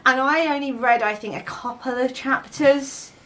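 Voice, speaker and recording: A woman's voice: high-pitched, moderate pace, fairly bright tone. A young woman in a casual, conversational book chat, co-hosting a vlog.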